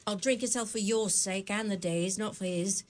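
A spirited, outspoken mother, played by an actress in a radio drama. Her voice sits at an ordinary middle pitch and her manner is brisk. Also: natural voice. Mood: indignant. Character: spirited mother of a poor family.

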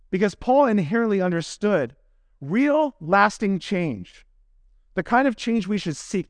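A man with a notably high voice delivers a sermon. He is earnest and instructive, laying out each phrase deliberately and putting questions to his listeners. The sound is dry, with very little room echo. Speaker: preacher. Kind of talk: expository sermon delivered from prepared notes.

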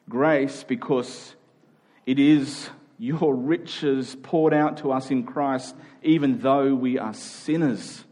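A man's voice: medium pitch, dark tone. A man speaking as a pastor, giving an earnest sermon to a congregation in a conversational, informal way.

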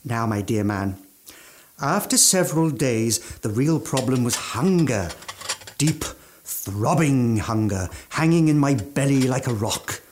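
An actor playing a genial, boastful British chef in the style of an old radio play, with effusive, gentlemanly phrasing. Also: male voice, mid-range voice, lively intonation, unhurried, a little hiss or hum, lightly acted. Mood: relishing grim tale. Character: genial, boastful gourmand chef, former mountaineer, now legless.

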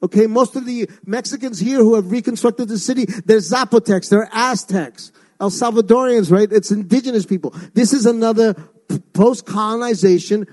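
A woman's voice: on the high side, moderate pace, hardly any pauses, darkish tone. A woman from Ecuador who grew up in New York City, speaking emphatically and rhetorically in an impassioned radio discussion. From born in Ecuador, raised in New York City. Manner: emphatic, rhetorical, often asks "right?".

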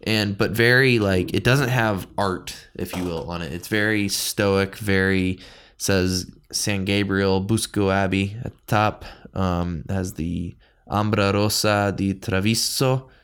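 Low-voiced man chatting as podcast host. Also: steady tone, slow pace, fairly bright tone, clear, resonant tone. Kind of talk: casual beer-tasting podcast chat.

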